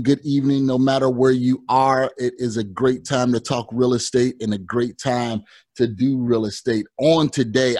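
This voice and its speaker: A man's voice: medium pitch, dry recording. Enthusiastic male host, dry sound. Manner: enthusiastic, repeats words and phrases. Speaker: podcast host. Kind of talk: upbeat show introduction and casual interviewing.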